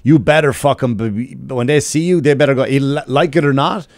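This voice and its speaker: A man's voice: mid-range voice, brisk, no echo, clean studio sound. A man with an Irish accent telling stories casually and emphatically on a podcast, swearing freely. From Ireland. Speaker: comedian. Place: small podcast studio. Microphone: close studio microphone with headphones.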